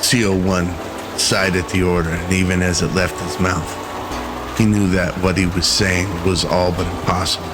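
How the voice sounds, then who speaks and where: A man narrating a scripted radio drama in a measured, solemn storytelling manner. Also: sits low, flat delivery, over music, poor audio.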